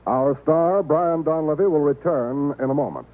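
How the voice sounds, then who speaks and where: A man's voice: fairly high pitch, normal speed. A man working as a radio announcer, reading a script in a polished, emphatic, promotional 1950s style. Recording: tinny, band-limited vintage radio broadcast.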